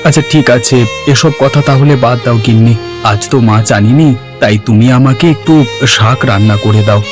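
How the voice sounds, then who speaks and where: A man's voice: medium pitch, brisk, over music, natural voice. A man voicing a poor, self-respecting village husband in a cartoon drama, speaking plainly. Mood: weary, aggrieved.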